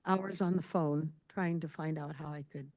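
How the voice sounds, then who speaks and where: A woman in the audience making a candid comment as a caregiver, speaking loudly. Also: low-pitched, fairly even intonation, normal speed, no room sound. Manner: loud, candid.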